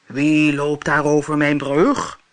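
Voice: medium pitch, level tone, slow, close to an everyday voice. A reader voicing a greedy, one-eyed green monster under a bridge who growls and roars orders in a storybook tale. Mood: threatening. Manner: growling, roaring, bossy.

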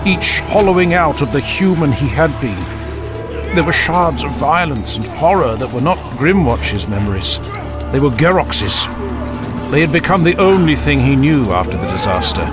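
Grave male fantasy audiobook narrator. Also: fairly high pitch. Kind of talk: dramatic scripted fantasy narration.

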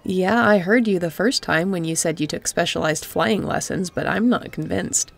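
A woman playing a guarded, wry spaceship captain in an intimate sci-fi audio roleplay, with a gravelly voice and dry, teasing, conversational delivery. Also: on the low side, normal speed, faint music behind. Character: guarded, wry female spaceship pilot captain, softening toward an old friend.

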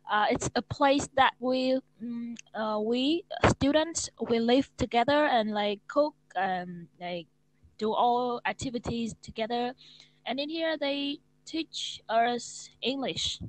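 A woman's voice: on the high side, expressive, takes it slowly, dry recording, poor audio. A nineteen-year-old woman from Vietnam with a Vietnamese accent, speaking into an earphone mic in a small room as an English student, hesitant and searching for words. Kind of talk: casual video-call lesson. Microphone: wired earphone mic.

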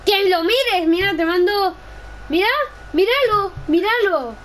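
A woman's voice: extremely high pitch, unhurried. A woman chatting casually while gaming, insistent and often repeating her requests. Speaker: gamer. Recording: faint background noise.

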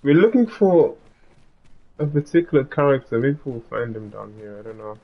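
A man's voice: mid-range voice, big pitch swings, normal speed, gravelly, narrow, tinny audio. A man giving casual let's-play commentary, chatting and laughing with someone off-mic. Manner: chatty, laughs and talks aside to someone in the room. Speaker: gaming streamer.

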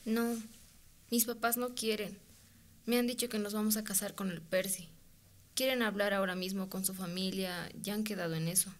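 A woman's voice: mid-range voice, fairly even intonation, moderate pace, close to an everyday voice. A woman acting a frightened, hesitant teenage girl who sounds pleading in a radio drama. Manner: pleading, unsure.

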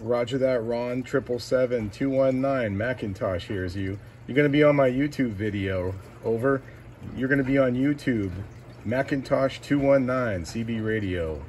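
A man working as a CB radio operator, calling out short call signs and repeating numbers deliberately. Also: medium pitch, darkish tone. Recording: noisy radio shack, distant mic, clicks.

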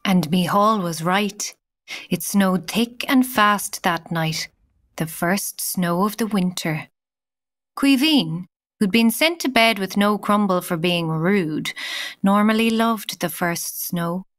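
A woman's voice: medium pitch, takes it slowly, a bit bright. A woman with an Irish accent playing the narrator of a children's tale in scripted storytelling. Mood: calm.

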